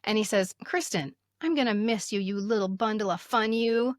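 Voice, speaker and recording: A woman's voice: medium pitch, moderate pace, no echo, clean studio sound. A woman in her fifties speaking as a podcast host, telling stories candidly in casual chat and sometimes reading aloud. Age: 50s. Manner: candid, chatty storytelling.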